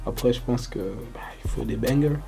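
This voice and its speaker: A young man from Congo answering interview questions casually, loose and relaxed, with lots of fillers. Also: medium pitch, fairly quick, creaky, music in the background. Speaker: rapper interviewee.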